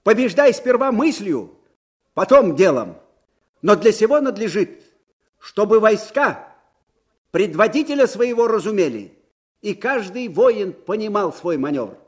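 An actor playing an old, disgraced field marshal, proud and wistful, in a folksy, musing manner for a radio drama. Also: male voice, high-pitched, sing-song, measured pace, long pauses, husky, heavily acted. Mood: fiery, proud. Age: older. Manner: folksy, musing, swinging from murmurs to ringing declamation. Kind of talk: Soviet radio drama acting.

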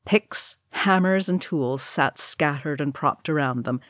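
A woman's voice: deep voice, unhurried, fairly bright tone, dry recording, studio quality. A woman reading scripted fiction aloud as an audiobook narrator. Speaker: audiobook reader.

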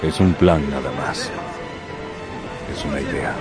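A brooding whaling captain in a radio drama. His voice is very low, solemn and commanding, full of vengeful defiance, with music behind him. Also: a little slow.

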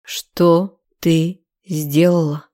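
A woman voicing a furious, menacing young man in an audiobook, speaking in clipped, deliberate phrases. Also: fairly low pitch, mellow, lightly acted. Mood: menacing anger.

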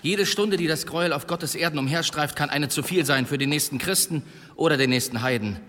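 Shrewd, forceful medieval demon hunter. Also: male voice, fairly high pitch, a little fast. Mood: grave, resolute. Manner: probing, pointed, archly polite. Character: shrewd, zealous medieval demon hunter, probing and dryly ironic. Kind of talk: German audio drama acting.

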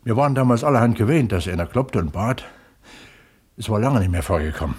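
A plain working-class miner in a 1970s radio play. His voice is husky and unpolished, his talk colloquial and unassuming, captured in a dry studio. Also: lowish voice, animated, fairly quick, a little mellow, no echo. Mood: reminiscing, plain. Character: plain-spoken working-class miner, modest amateur writer and host. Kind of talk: 1970s German radio drama acting.

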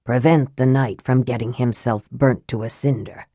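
A woman voicing a grim, caustic young male wizard in an audiobook, slow and hushed with bitter deliberation. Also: extremely low pitch, husky, no room sound, clean studio sound, pitched below the performer's own voice, exaggerated voice. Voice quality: hushed, whispery. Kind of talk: audiobook character acting.

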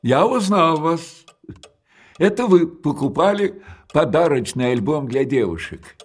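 An actor playing an elderly, widowed male junk-shop owner in a radio drama. He talks slowly and hesitantly, and his sentences trail off softly between pauses. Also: fairly high pitch, lively intonation, husky. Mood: courteous. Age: older.

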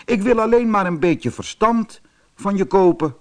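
A man's voice: sits high, lively intonation, normal speed, voice pushed up. Man als sluwe, ijdele tijger met hoge stem. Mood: falsely polite. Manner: wheedling, self-satisfied, impatient when crossed. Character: sly, vain, hungry male tiger, falsely polite. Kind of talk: storybook character voice.

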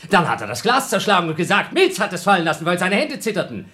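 A man's voice: sits high, sing-song, fast, bright, forceful tone, heavily acted. A man playing an impulsive, sarcastic astronaut in a German radio play, arguing and accusing his crewmate. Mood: mocking outrage. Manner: argumentative, sarcastic, swinging from muttering to exclaiming.

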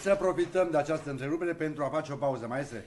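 A man's voice: a little high, darkish tone, lightly acted. An actor playing a tactful, deferential theatre man leading a rehearsal in a radio comedy. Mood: courteous.

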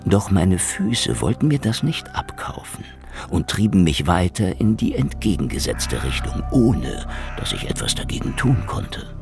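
An actor playing a cynical, world-weary Soviet secret-police detective in a hardboiled radio drama, sardonic and slangy. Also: male voice, sits low, very animated, measured pace, creaky, over music, exaggerated voice. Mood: brooding, wry. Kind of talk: noir radio drama acting and narration.

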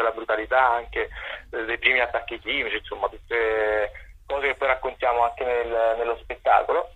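A man with a husky voice giving conversational, hesitant and discursive answers as a radio interview guest. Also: medium pitch, narrow, tinny audio. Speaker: reporter, playwright and director, interview guest.

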